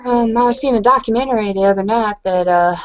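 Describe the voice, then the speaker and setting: A woman's voice: fairly high pitch, fast talker, lo-fi sound. A woman improvising casual in-character roleplay talk as a blunt, curious bar-goer, rambling and slangy. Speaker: tabletop roleplay player.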